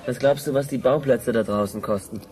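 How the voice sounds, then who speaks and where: An actor plays a grumbling schoolteacher in a radio play. He is a man with a middling pitch who gossips in a colloquial, naturalistic way, always with a gripe. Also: brisk, a little mellow, natural voice. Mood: griping. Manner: colloquial, griping. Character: gossipy, grumbling male schoolteacher chatting in a pub. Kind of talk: naturalistic radio drama dialogue.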